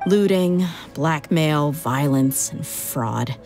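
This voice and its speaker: A low-pitched young woman mercenary, tough and worldly. She talks frankly with a wry, casual edge in anime game dialogue, with music underneath. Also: animated, slow, darkish tone, exaggerated voice. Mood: bitter.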